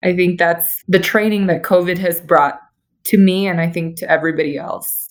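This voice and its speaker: A woman's voice: on the low side, steady tone, normal speed. A young woman, an architect and interview guest, telling her story casually with many "like"s over a remote call.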